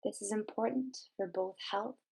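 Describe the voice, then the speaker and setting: Female meditation guide, mid-range pitch, measured scripted delivery with deliberate, instructional phrasing. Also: gravelly, darkish tone, slightly rough, low-quality recording.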